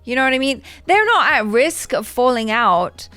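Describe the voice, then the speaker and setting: A woman's voice: on the high side, very animated, moderate pace, a bit bright, no echo, faint music behind. A woman co-hosting a reaction show, chatting casually with slangy, joking delivery. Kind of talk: casual chat.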